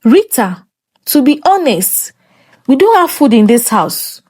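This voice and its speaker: A woman's voice: high-pitched, sing-song, unhurried, a little mellow, husky, heavily acted. Curt, selfish Nigerian older sister, voiced by a woman. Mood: uneasy, dismissive.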